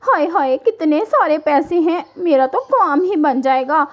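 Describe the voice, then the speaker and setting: Casting: woman as a vain, greedy cartoon lady bird, high voice, sly and theatrical. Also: unhurried. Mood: gloating, scheming. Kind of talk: children's cartoon storytelling.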